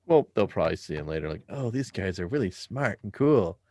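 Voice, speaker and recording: A man's voice: fairly low pitch, very animated, normal speed, a little mellow, no room sound. A man chatting casually and playfully with friends on a group call. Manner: playful, jokey. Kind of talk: casual joking group chat.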